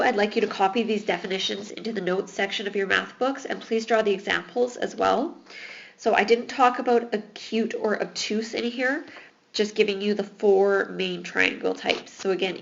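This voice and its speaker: A woman's voice: medium pitch, normal speed, creaky, lo-fi sound. A woman teaching math in a recorded lesson, explaining patiently while losing her voice. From Alberta, Canada.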